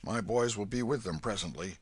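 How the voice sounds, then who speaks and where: A man voicing a kindly, gruff old Welshman with a booming, fatherly manner. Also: a little fast, dry recording. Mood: storytelling.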